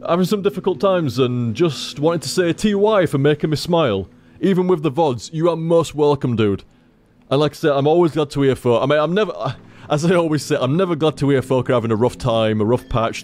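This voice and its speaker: A man's voice: medium pitch, a little fast, a little mellow, a little hiss or hum. A man streaming, chatting casually as he reads out viewer tips, rambling, repeating himself and swearing freely.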